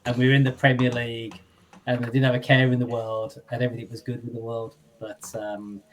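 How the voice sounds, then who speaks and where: A man's voice: medium pitch, little pitch movement, brisk, slight background noise. Rambling self-deprecating man co-host. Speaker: podcast co-host. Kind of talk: casual chat.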